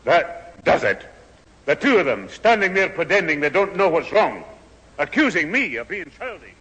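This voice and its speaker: An actor playing a stubborn, self-pitying old grandfather in a 1970s radio sitcom, with a husky, wounded delivery. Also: a little high, very animated, moderate pace, a bit bright, heavily acted. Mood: indignant. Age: older. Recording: echoey old radio hall recording.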